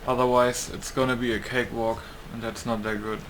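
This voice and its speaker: A man's voice: medium pitch, level tone, a little fast, a bit bright, slight background noise. A man giving casual gameplay commentary, thinking aloud in a hesitant way. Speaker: gamer recording a playthrough.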